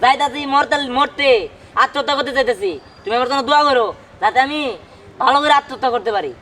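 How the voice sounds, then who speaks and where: A man acts out a lovesick, petulant young villager from a comedy drama. His voice is very high and complaining, full of melodrama. It is recorded on location with faint background noise. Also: fast talker, sharp tone. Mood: petulant, sulking. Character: whiny lovesick village son, melodramatically threatening suicide.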